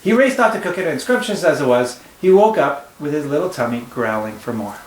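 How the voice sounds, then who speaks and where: Brief: male teacher, read-aloud storytime, expressive, slightly high voice, echoey home room. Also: brisk. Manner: warm, expressive storytelling with asides. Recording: echoey room with faint ambience.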